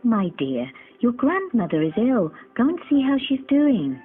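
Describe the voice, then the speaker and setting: A woman voicing a gentle, tender mother in a children's storybook cartoon. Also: a little high, big pitch swings, a little slow, narrow, tinny audio, soft background music. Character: gentle mother and frail old grandmother.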